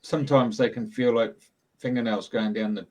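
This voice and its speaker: A man's voice: mid-range voice, steady tone, normal speed, darkish tone. A man hosting a podcast, speaking hesitantly with many fillers as he searches for words. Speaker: podcast host. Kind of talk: casual podcast conversation.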